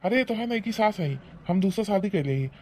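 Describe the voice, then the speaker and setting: A man's voice: high voice, expressive, a little fast, darkish tone, slight background noise. A man playing a blustering, defensive old village husband in a rural comedy cartoon, scolding and rambling in an Awadhi accent. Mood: bossy. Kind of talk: rustic comedy cartoon acting.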